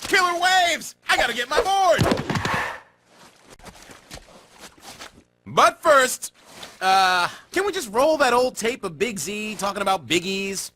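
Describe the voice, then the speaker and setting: A man voicing an excitable penguin surf reporter in a video game, delivering dramatic sports news like a surfer dude. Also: very high voice, a little slow, heavily acted. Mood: excited. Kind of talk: TV sports news broadcast parody. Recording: loud music behind voice.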